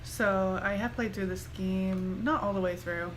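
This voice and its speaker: A woman's voice: medium pitch, fairly even intonation, a little slow, slight background noise, rough recording. A woman giving casual, chatty commentary while she plays a video game. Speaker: game streamer. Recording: game music behind.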